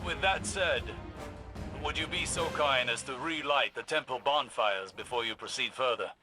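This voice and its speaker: A man playing a stern, seasoned warrior-scholar mentor in a video game, speaking in a formal, measured, solemn way. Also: mid-range voice, sharp tone, faint music behind, close to an everyday voice. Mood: commanding, formal.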